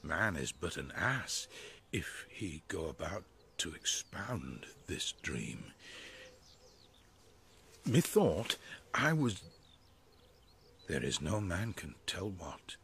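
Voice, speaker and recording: An actor playing a bumbling, pompous weaver in a Shakespeare radio drama, declaiming haltingly in a husky voice. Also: male voice, low voice, sing-song, a bit bright, a little hiss or hum, heavily acted. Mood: awestruck, bewildered. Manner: slow, halting, awestruck declaiming. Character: Bottom, a bumbling pompous weaver waking from a dream. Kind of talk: British radio drama, theatrical Shakespeare soliloquy.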